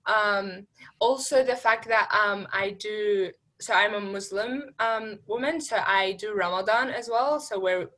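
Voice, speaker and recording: A young woman answers interview questions casually. Her voice is bright and forward, and she peppers her informal storytelling with "like". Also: mid-range voice. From born in Iraq, raised partly in Syria, moved to Australia. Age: young adult. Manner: informal, heavy use of "like". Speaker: program graduate, interviewee.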